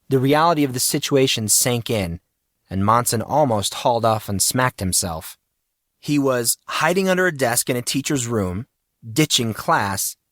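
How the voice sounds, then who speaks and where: A man's voice: medium pitch, a bit bright, no echo, clean studio sound. A man reading scripted, dramatic fiction as an audiobook narrator.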